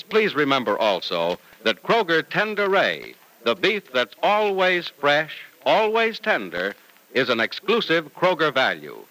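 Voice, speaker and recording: A man's voice: fairly high pitch, sharp tone, low-quality recording. A man with an American accent announcing in an old radio style, with an emphatic, polished sales delivery. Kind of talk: vintage radio serial narration and ad reading.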